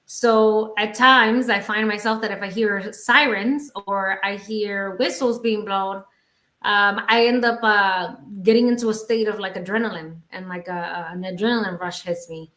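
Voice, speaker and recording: A woman's voice: mid-range voice, fairly even intonation, moderate pace, fairly bright tone, reverberant. A woman from the Bronx with a New York and Spanish accent, chatting playfully as a podcast co-host and laughing often. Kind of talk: casual chat.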